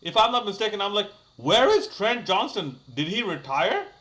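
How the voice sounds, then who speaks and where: A young man hosts his own cricket review channel and talks off the cuff. His voice sits a little high and rings bright. He rambles, restarts sentences and fills gaps with "uh" and "like". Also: sing-song, normal speed. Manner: chatty, rambling, many fillers and restarts. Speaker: cricket YouTuber. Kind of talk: casual solo match review.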